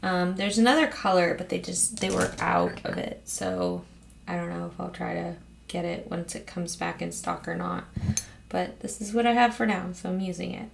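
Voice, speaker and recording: Hoarse, raspy woman chatting casually. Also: lowish voice, steady tone, normal speed, slight background noise. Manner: chatty, rambling, with frequent ums and soft laughs. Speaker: beauty vlogger host. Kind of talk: casual get-ready-with-me chat.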